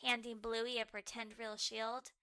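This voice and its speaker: Woman voicing naive, high-voiced little boy dog. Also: little pitch movement, a little slow, bright, forceful tone, clear, resonant tone. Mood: helpful. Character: Winton, naive, earnest young boy cartoon dog.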